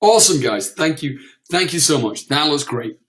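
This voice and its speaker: A man presenting a live webinar, croaky from a cold, chatty with lots of fillers and repeated words. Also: on the high side, lively intonation, normal speed, a bit bright.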